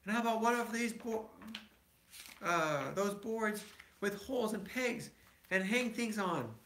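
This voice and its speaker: A little girl bear cub from a storybook, played by a man reading aloud, pleading in a raised, bright pitch. The room around the voice is echoey. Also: animated, measured pace, exaggerated voice. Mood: hopeful.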